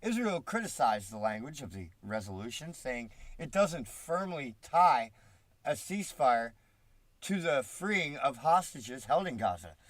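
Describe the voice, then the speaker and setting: A man's voice: mid-range voice, big pitch swings, measured pace, no room sound. A man with a stuffed-up, congested voice, reading news aloud on a live stream and breaking off with mocking, profane asides. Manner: swears freely, mocking asides. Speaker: political commentary streamer.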